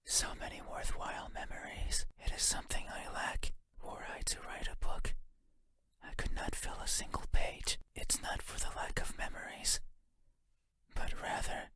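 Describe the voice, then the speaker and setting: A man voicing an ageless, lonely, eerie creature in a game, in a breathy unvoiced whisper with a halting, stiff, introspective delivery. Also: close to an everyday voice. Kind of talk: whispered monologue in a horror game.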